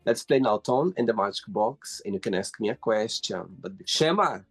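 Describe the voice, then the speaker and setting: A man from Brazil, a native Portuguese speaker, hosting a casual interview in English with an effusive, animated manner. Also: lowish voice, moderate pace, breathy edge, faint music behind. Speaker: host. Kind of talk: casual video call interview.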